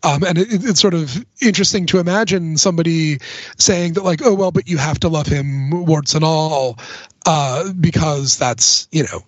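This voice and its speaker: A man with a fairly high voice speaks as a podcast panelist, captured close and dry with a slightly dull sound. He talks casually and gives his opinions in a measured, thinking-aloud way. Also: dry recording. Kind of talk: casual film and music discussion. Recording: dull, muffled close microphone.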